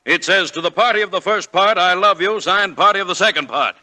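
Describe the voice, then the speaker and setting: An actor playing a stern, brusque older judge who runs a law firm, in 1940s radio style. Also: male voice, sits high, a little fast, pushed and bright. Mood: gruff, impatient. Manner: brusque, interrupting, incredulous. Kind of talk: 1940s radio comedy-drama acting. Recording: 1940s radio broadcast recording.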